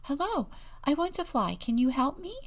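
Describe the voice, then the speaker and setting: Small female penguin chick, played by a woman, shy yet determined, animated pitch, storybook reading. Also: moderate pace. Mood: eager, hopeful. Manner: earnest, pleading, often whispering.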